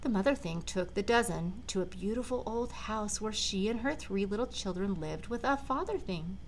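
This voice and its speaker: An older woman, a grandmother, reading a children's storybook aloud with dramatic, deliberate phrasing. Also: mid-range voice, animated, a little hiss or hum, poor audio. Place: large living room at home.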